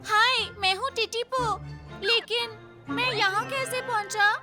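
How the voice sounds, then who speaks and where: Loud music sits under a very high, squeaky little boy train. The cartoon character is eager and anxious, speaking slowly in polite, earnest exclamations. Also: steady tone. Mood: shaken, grateful. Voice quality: childlike, squeaky. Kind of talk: Hindi cartoon dub acting.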